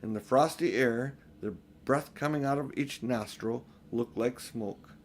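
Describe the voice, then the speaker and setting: A man's voice: mid-range voice, a little slow, a little hiss or hum. An older man narrating a children's storybook as an audiobook reader. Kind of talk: storybook narration read aloud.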